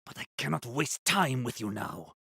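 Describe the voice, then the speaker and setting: Scheming gravelly villain, lively sneer. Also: male voice, mid-range voice, moderate pace, background noise.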